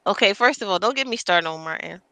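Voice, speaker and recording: A woman hosting a podcast in a casual, slangy, animated chat, often laughing. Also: mid-range voice, quick pace, dry recording. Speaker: podcast host.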